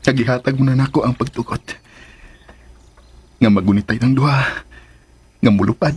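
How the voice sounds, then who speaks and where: A man's voice: medium pitch, normal speed, a little mellow, breathy edge. An actor playing an ardent, tender male lover with a Filipino accent in a sentimental radio drama, speaking earnestly over music. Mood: tender, joyful. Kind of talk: Philippine radio drama acting, sentimental romance.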